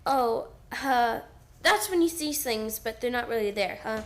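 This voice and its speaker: A woman's voice: a little high, expressive, a little slow, slight background noise. A woman playing a skeptical, matter-of-fact young schoolgirl in a radio play, earnest, precise and questioning. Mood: matter-of-fact, doubting.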